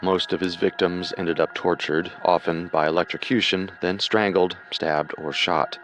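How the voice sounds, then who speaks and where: A man's voice: deep voice, fairly even intonation, normal speed, a bit bright, soft background music, low-quality recording. A man co-hosting a true crime show, reading scripted narration in a dramatic storytelling manner.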